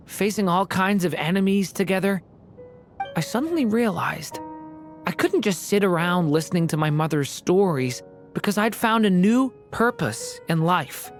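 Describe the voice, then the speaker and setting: An actor plays a young boy dragon in a game story. The voice is mid-pitched, soft and earnest, wistful with growing courage. Light music sits underneath. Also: lively intonation, normal speed, lightly acted. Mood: resolved. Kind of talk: dramatic video game voice acting.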